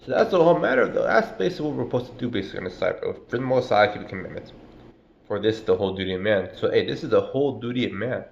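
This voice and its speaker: Congested man, low voice, casual profane preacher, echoey lo-fi room. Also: animated, rapid-fire, creaky, slight background noise. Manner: slangy and profane, drawls "bro" and "man". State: stuffy, congested from a cold. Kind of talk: casual street-style sermon.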